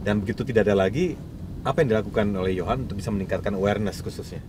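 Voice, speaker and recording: Fast, deep-voiced interviewer. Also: male voice, far from the mic, noisy background. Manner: fast, long multi-part questions. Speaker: host. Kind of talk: interview questions.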